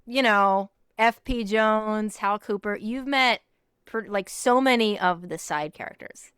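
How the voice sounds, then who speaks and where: A woman's voice: mid-range voice, measured pace, fairly bright tone, clean tone, no echo, studio quality. A woman hosting a podcast, interviewing in a casual, chatty style. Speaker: podcast host.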